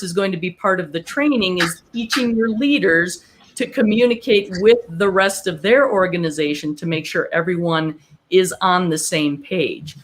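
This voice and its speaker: A woman co-hosting an instructional business podcast, explaining things step by step in a measured way with small asides. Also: medium pitch. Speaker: podcast co-host.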